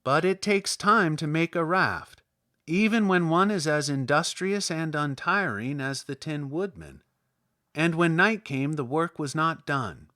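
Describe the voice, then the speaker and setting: A man narrates a storybook as an audiobook. His voice sits a little high and moves in animated swings. The sound is dry and close. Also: a little slow, dry recording.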